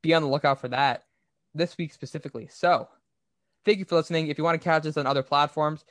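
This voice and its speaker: Male business podcast host, slightly high register, explanatory and opinionated, very dry recording. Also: fairly even intonation, quick pace, a bit bright, no echo. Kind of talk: conversational business commentary.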